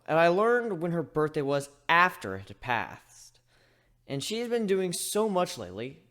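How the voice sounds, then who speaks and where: A chatty male griffin voiced by a man tells his own fantasy story. His delivery is wry and conversational, wandering off into asides, and his pitch moves around in an animated way. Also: heavily acted. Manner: conversational, rambling, self-interrupting. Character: chatty male griffin, wry, rambling first-person narrator. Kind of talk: dramatic reading of fan fiction, storytelling.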